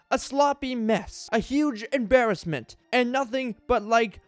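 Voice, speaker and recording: A man's voice: extremely high pitch, no room sound. A man hosting a mock dramatic reading in a theatrical, exaggerated tone of outrage. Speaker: YouTube host reading a list.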